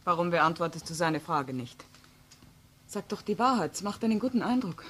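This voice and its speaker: A woman's voice: fairly low pitch, animated, normal speed, a bit bright, husky. An actress playing a possessive, unyielding mistress in a crime drama, intense and insistent as she shields her lover.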